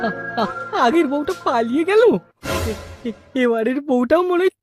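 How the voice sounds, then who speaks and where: An actor voicing a gullible, excitable married village man with a stutter in a comic cartoon dub. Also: very high-pitched, normal speed, faint music behind. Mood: troubled.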